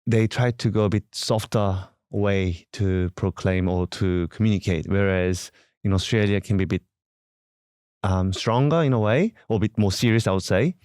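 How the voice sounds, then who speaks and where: Korean-accented male priest, interview, lowish voice, halting delivery, dry sound. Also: a little mellow, clear, resonant tone, no room sound. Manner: halting, searching for words with frequent fillers. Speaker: parish priest.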